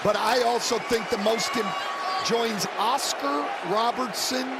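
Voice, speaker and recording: A man commenting live on basketball as a broadcast analyst, with very loud arena noise around him. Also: very high voice, measured pace, over a noisy crowd.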